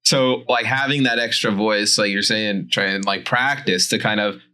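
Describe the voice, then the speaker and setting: The forceful, bright-voiced man at a gaming round-table, an ex-player turned coach swapping notes with the other coaches. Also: on the low side, steady tone, moderate pace, clear, resonant tone. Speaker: esports coach and former pro player. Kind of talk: casual round-table discussion.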